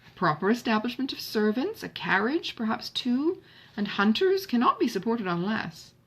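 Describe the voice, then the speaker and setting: A woman's voice: fairly high pitch, big pitch swings, normal speed, husky, pitched above the performer's own voice. A woman voicing a passionate, idealistic young woman in a novel, speaking in an emphatic, formal manner. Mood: earnest.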